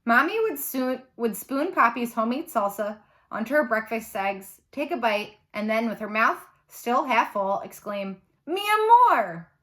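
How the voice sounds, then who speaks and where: Lady teacher reading a kids book out loud, expressive, voice a bit high. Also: moderate pace. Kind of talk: children's novel read-aloud, expressive narration.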